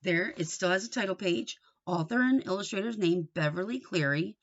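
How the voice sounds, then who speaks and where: A woman teaching and reading aloud to young children, explaining things simply, asking them questions and reading with deliberate expression. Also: fairly low pitch, a little hiss or hum. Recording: dull, lo-fi sound.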